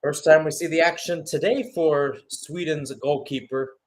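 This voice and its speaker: A man with a fairly high-pitched voice commentates on football in a live radio style. He works as a play-by-play announcer, delivering the action in short, clipped phrases. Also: fairly even intonation, a little slow, a little mellow.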